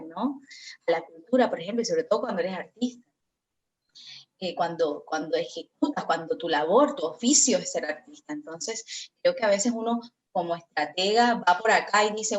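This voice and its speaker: A woman's voice: mid-range voice, low-quality recording. A woman from Colombia speaking as a guest in a virtual conference discussion, reflective and hesitant, with many fillers, over a video call.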